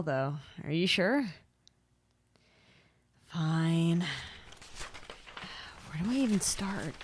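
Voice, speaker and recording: A woman voicing a reluctant, gently teasing storyteller in a character voice piece, with a hesitant, soft-hearted delivery. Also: medium pitch. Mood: flustered, reluctant. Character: reluctant, gently teasing storyteller, self-deprecating about magic. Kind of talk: character voice pack, conversational then fairy-tale narration. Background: background music.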